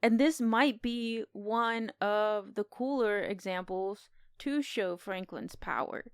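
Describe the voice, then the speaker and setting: A woman's voice: medium pitch, slow pace, clear, resonant tone, dry recording, clean studio sound. A woman co-hosting a podcast, chatting casually about films and retelling things with enthusiastic expression. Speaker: podcast co-host. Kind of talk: casual film discussion.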